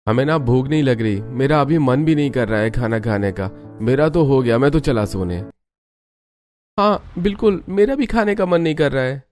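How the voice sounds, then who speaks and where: A man's voice: medium pitch, expressive, normal speed, darkish tone, faint music behind, close to an everyday voice. A man voicing ordinary, casual men in a family cartoon with a conversational delivery. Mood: reluctant, evasive. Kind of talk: Hindi family cartoon dialogue.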